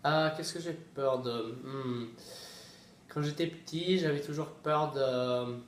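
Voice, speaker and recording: Brief: teenage boy from Sweden, relaxed and hesitant, distant mic in a roomy space. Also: medium pitch, takes it slowly, slight background noise. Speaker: student. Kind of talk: casual self-introduction.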